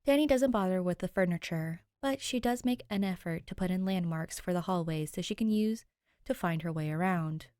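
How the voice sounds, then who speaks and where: A woman reading fiction aloud as an expressive podfic narrator. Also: fairly low pitch, normal speed, clear, resonant tone, no echo, studio quality. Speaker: podfic reader. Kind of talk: expressive scripted story narration.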